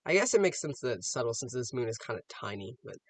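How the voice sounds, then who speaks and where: A man's voice: medium pitch, very animated, fast, breathy edge, no room sound. A man giving casual, off-the-cuff reaction commentary, musing aloud and posing rhetorical questions. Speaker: reaction video host.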